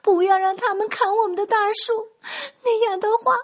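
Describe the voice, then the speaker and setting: A woman's voice: very high voice, animated, very slow, voice pushed up, full character voice. A woman voicing frightened little forest animals, pleading tearfully for help in a storybook.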